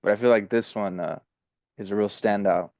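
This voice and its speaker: Man with a low, smooth voice hosting a podcast and asking a guest short, friendly questions. The sound is close and dry and a bit dull and lo-fi. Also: fairly even intonation, normal speed, a little mellow, no room sound. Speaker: podcast host. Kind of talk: casual interview.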